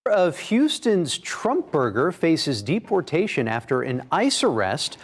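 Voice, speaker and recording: A man reading a broadcast news report in a measured, formal way. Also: on the high side, wildly swooping pitch, husky.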